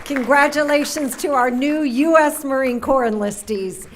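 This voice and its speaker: A woman's voice: high voice, normal speed, crowd noise, background noise. A woman emceeing a ceremony, making formal introductions. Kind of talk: formal announcements.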